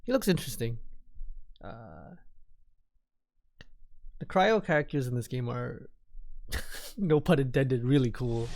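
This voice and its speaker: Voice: medium pitch, expressive, takes it slowly, vocal fry, long pauses, a little mellow. A game streamer giving casual live commentary, rambling and repeating phrases while thinking aloud.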